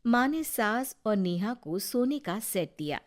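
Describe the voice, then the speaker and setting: A woman narrating a cartoon moral story in a calm, even storytelling manner. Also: mid-range voice, measured pace.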